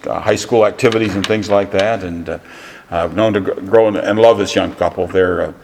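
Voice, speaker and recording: A pastor introducing a guest preacher, speaking haltingly with many fillers and restarts, recorded in a church. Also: male voice, low-pitched, steady tone, a little mellow. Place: church sanctuary. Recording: church hall reverb.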